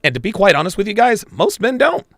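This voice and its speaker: A man's voice: high-pitched, huge pitch swings, fast talker, vocal fry, a bit bright, no room sound, clean studio sound. A man hosting a live reaction stream, blunt and crude, swearing and talking to his chat viewers. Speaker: podcast host reacting to a clip.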